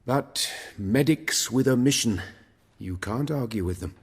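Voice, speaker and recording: An actor playing a stern, proud professor of surgery in a video game, speaking in a measured, formal, dry way. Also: male voice, medium pitch, animated, slightly rough, long reverb tail. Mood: dry, jaded.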